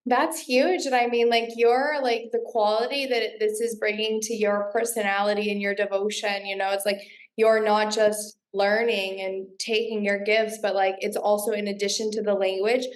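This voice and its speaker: A woman's voice: mid-range voice, little pitch movement, normal speed. A woman coach hosting a casual live group call, effusive and encouraging in the way she talks. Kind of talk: casual supportive coaching chat.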